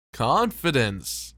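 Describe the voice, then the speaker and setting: A man's voice: medium pitch, bright, forceful tone, dry recording, studio quality, heavily acted. A man playing a weary, self-doubting club host in an ASMR roleplay, with a hesitant, understated delivery.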